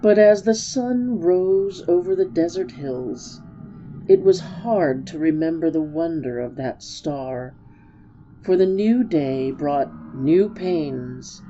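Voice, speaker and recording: A woman narrating a storybook as a dramatic reading, measured and expressive. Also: deep voice, highs cut off, slight background noise.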